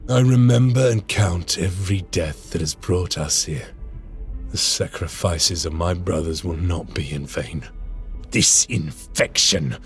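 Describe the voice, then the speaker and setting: An actor playing a stern veteran space marine lieutenant in a game cutscene, grave and solemn. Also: male voice, sits low, measured pace. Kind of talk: video game cutscene acting. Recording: game audio over music.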